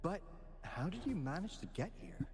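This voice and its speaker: Game role: flamboyant, knowing male guardian, very animated line readings, long reverb. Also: on the high side. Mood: theatrical delight. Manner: theatrical, dramatic pauses and flourishes. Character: theatrical, playful male guardian of a secret island order, knowing and flamboyant. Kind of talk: video game voice acting.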